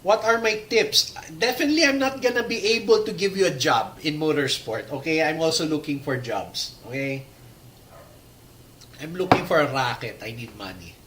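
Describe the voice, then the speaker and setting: A man's voice: a little high, expressive, normal speed, fairly bright tone, a little hiss or hum, low-quality recording. A man from the Philippines giving a live slide talk, losing his voice, rambling with many fillers and restarts. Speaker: presenter giving a talk on working in motorsport. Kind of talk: live-streamed slide presentation with audience questions.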